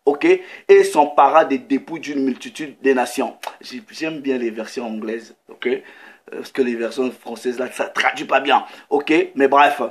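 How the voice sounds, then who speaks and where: A man's voice: mid-range voice, fairly even intonation. A man preaching in French, reading scripture aloud and stopping often to check in with listeners and comment. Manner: reads scripture slowly, breaks in with "ok" and comments. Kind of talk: sermon with Bible reading.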